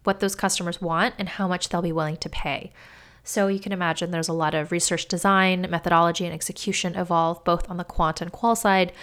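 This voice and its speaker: A woman host explains a topic on her own, with a lowish voice. It comes out smooth and clear despite a gravelly edge left by a cough. She keeps a calm, measured, explanatory pace. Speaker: podcast host. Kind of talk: solo explainer talk.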